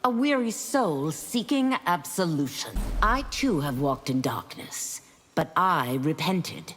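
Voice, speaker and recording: A solemn, penitent warrior in a video game. Her grave, lowish voice moves expressively through long reverb. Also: very animated, slow, exaggerated voice. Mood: solemn resolve. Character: solemn, weary penitent female demon warrior. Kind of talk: video game character dialogue. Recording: long hall reverb, clear noise behind.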